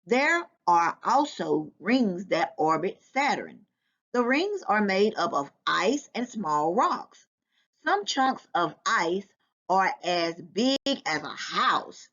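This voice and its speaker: A woman reading a nonfiction book aloud to children in a deliberate, instructive way. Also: medium pitch, big pitch swings, a bit bright. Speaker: volunteer children's book reader. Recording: dull, lo-fi sound.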